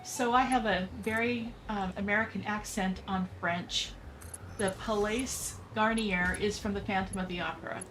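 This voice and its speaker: A woman's voice: medium pitch, fairly even intonation, moderate pace, fairly bright tone, soft background music. A woman reading viewer comments aloud on a gaming livestream, friendly and matter-of-fact. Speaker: livestream chat reader.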